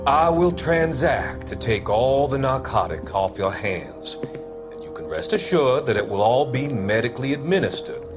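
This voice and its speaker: Game drama: male actor as calculating physician-confidant, measured, patronizing, expressive pitch movement, faint music behind. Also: lightly acted. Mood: smooth persuasion. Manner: measured, formal, wordy and patronizing. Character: smooth, calculating male doctor, formal confidant and schemer. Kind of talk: video game dramatic acting.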